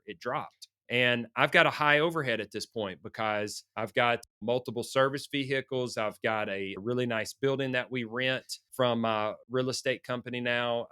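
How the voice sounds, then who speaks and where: A middle-aged man, hoarse from a sinus infection, hosting a motivational business podcast in an earnest coaching manner. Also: medium pitch, pushed and bright, no echo, clean studio sound. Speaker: podcast host.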